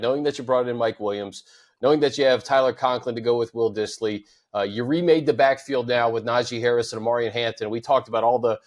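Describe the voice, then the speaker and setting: A man hosting a sports podcast, smooth and even, laying out points in steady lists. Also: medium pitch. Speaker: podcast host.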